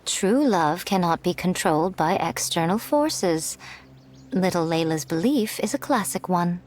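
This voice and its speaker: A woman playing a poised, knowing woman in a video game, speaking in a measured, playfully teasing and eloquent way. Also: fairly low pitch, lively intonation, a little mellow, a little hiss or hum. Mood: musing. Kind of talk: polished video game character acting. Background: faint music.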